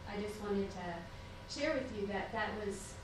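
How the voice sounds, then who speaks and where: A woman's voice: mid-range voice, expressive, a little slow, background noise. A woman from southern Indiana giving an earnest public comment at a city council meeting, chatty, with fillers and small laughs. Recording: echoey room picked up from far off.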